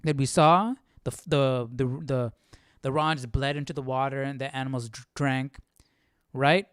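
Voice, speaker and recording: A man hosting a casual live Bible study, reading aloud and thinking out loud in an informal, slangy way. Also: medium pitch, steady tone, moderate pace, clear, resonant tone, dry recording, clean studio sound.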